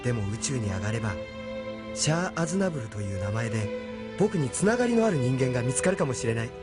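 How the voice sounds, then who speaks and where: A man's voice: mid-range voice, sing-song, quick pace, over loud music, natural voice. An actor playing a sincere, pensive nineteen-year-old young man in an audio drama, speaking earnestly. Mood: wistful. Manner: earnest, wistful, introspective. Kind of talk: anime-style audio drama acting.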